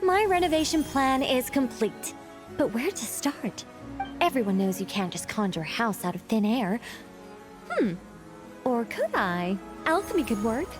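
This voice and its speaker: A woman's voice: on the high side, very animated, measured pace, over music. A woman voicing a whimsical, self-important female mage in a video game, theatrical, musing and grandly commanding. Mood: pensive, self-absorbed.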